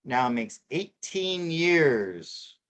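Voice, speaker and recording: A middle-aged man co-hosting a live tarot show in casual chat, halting and repeating himself with many fillers. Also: a little high, very animated, fairly bright tone. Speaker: co-host reading tarot cards.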